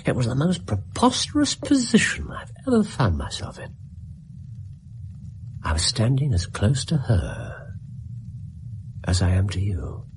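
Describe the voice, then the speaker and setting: An animated, wry British detective. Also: male voice, medium pitch, measured pace, pauses often, a little hiss or hum. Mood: wry, amused. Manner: theatrical, wry. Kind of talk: radio drama acting.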